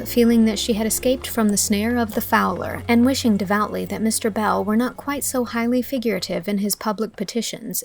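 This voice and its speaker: A woman narrating a literary audiobook, telling the story in a measured, expressive way from a script. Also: mid-range voice, creaky.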